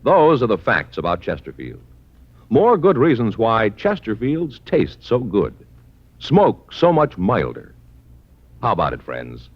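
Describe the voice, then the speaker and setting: A man's voice: lowish voice, wildly swooping pitch, a little slow, slightly rough. A man with an American accent delivering an emphatic, salesmanlike 1950s radio commercial. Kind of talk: 1950s radio advertising pitch. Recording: muffled vintage radio broadcast.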